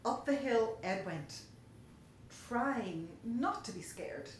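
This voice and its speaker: A woman reading a children's story aloud in an expressive storytelling style. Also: fairly high pitch, takes it slowly. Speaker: story reader. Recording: very echoey room, faint noise.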